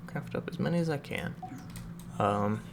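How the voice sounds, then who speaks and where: A man's voice: medium pitch, lively intonation, moderate pace, creaky, noisy background. A man doing casual live gameplay commentary as a streamer, thinking aloud. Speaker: game streamer. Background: loud game music.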